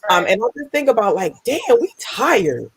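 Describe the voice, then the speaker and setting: A woman with a deep voice speaking bluntly and candidly, with salty humor, on a video call discussion. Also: very animated, normal speed, low-quality recording. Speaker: panelist. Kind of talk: casual discussion.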